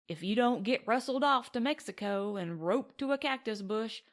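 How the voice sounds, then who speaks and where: A woman voicing a gruff, sarcastic cowboy gunman who talks in rustic slang in an audiobook western. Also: a little high. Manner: drawling rustic cowboy slang, terse and mocking. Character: gruff, sarcastic middle-aged cowboy gunman of the Old West.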